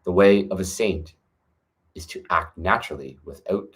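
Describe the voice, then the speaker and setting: A man reading a classic text aloud on his front porch, earnest and gentle, with spiritual asides. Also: low voice, moderate pace.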